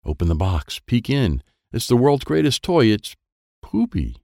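A man voicing an excitable, wistful small boy who narrates a children's storybook in simple, wide-eyed phrases. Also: mid-range voice, a little slow, creaky, no room sound, clean studio sound, voice pushed up. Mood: wide-eyed wonder. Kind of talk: first-person present-tense children's storybook narration.

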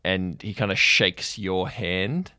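A man working as game master, improvising fantasy narration in a casual, halting, conversational way. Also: low voice, bright, forceful tone, dry recording, studio quality. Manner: conversational, frequent "like" and trailing pauses.

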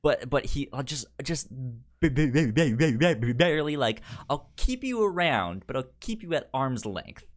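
A man co-hosting a podcast, chatting casually about films in a halting way, often stammering on the starts of words. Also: mid-range voice, very animated. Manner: halting, stammers repeatedly on word beginnings. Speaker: podcast co-host. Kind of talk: casual film discussion chat.

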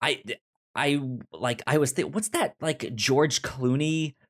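Podcast host, unhurried, mid pitch, halting and stammering, casual movie chat. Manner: halting, stammering with repeated syllables.

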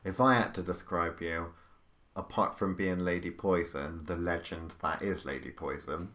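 A man hosts a podcast interview in a very deep voice. He builds his questions slowly and at length, with hesitations and restarts along the way. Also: a bit bright. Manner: rambling, long-winded questions with hesitations. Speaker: podcast host. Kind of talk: casual interview chat.

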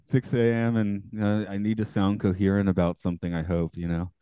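A man hosting a podcast in casual chat, with a morning gravel in his voice, chatty and quick to laugh at himself. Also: lowish voice, little pitch movement, dark tone, no echo. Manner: chatty, self-deprecating, laughs often. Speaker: podcast host.

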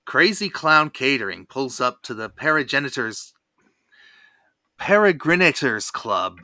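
A podcast host recaps a cartoon with theatrical flair. The voice is bright and very animated, rising and falling freely. It is recorded dry and close. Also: mid-range voice, unhurried, dry recording. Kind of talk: lively cartoon episode recap.

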